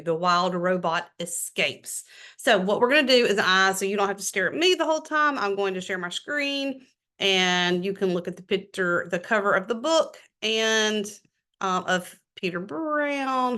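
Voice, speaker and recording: A woman hosting a children's read-aloud, expressive and enthusiastic, talking straight to her young listeners in a casual way. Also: mid-range voice. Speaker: host of a children's book read-aloud show. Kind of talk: reading a children's novel aloud with casual chatty asides.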